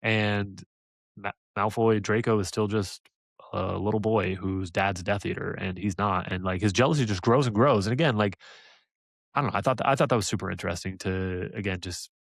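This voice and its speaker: A man co-hosting a casual podcast, animated and laughing often, trailing off into fillers. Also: fairly low pitch, a little fast, creaky, dry recording. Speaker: podcast co-host.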